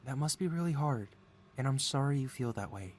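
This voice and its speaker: A man's voice: medium pitch, a little fast, close to an everyday voice. A man acting a doting, possessive supervillain scientist. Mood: soothing, reassuring. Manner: soothing, coaxing, flattering, feigned innocence. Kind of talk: ASMR audio roleplay, intimate one-sided dialogue.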